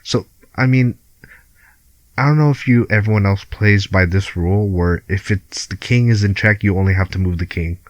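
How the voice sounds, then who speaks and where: A man's voice: lowish voice, moderate pace, no echo, poor audio. A young man with a Filipino accent co-hosting a podcast over a remote call, laid-back and laughing often as he swears casually. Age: young adult. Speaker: podcast co-host. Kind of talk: casual chat.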